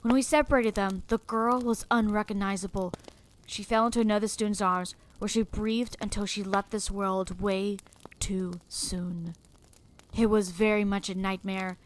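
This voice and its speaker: A woman's voice: medium pitch, steady tone, normal speed, low-quality recording. Woman narrating horror, grave and dramatic. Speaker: storyteller.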